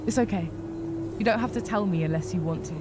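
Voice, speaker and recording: A woman voicing a plain-spoken young rabbit heroine in a fantasy visual novel, matter-of-fact and gently dry. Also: lowish voice, fast talker, natural voice. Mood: concerned, frank. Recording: music behind the voice.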